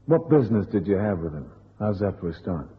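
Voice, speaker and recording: A man's voice: on the low side, big pitch swings, fairly quick. Wisecracking, blunt tough guy. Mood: sardonic, probing. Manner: slangy, sardonic, pushy. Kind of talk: golden-age radio detective acting. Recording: dull old radio recording.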